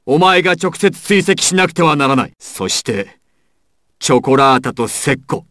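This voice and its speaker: A man's voice: fairly high pitch, lively intonation, moderate pace, sharp tone, no echo, studio quality. A man playing a proud, ruthless crime boss villain in an anime fighting game, with an imperious, menacing and theatrical delivery. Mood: grave, commanding.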